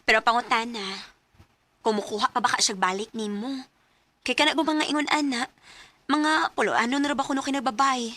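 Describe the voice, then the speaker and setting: A woman's voice: on the high side, brisk, long pauses, pushed and bright, dry recording. An actress with a Cebuano Filipino accent playing a concerned, nosy and chatty female friend in a radio drama. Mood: cautioning. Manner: chatty, questioning. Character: concerned, nosy girlfriend confidante, cautioning and chatty. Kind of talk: Bisaya radio drama acting.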